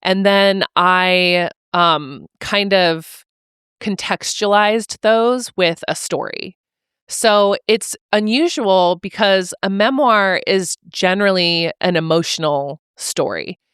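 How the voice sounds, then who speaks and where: A woman's voice: medium pitch, slow, fairly bright tone, smooth, no echo, studio quality. A young adult woman guest on a podcast, talking casually and thoughtfully, with many fillers and restarts. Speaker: author guest. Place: mobile podcast studio.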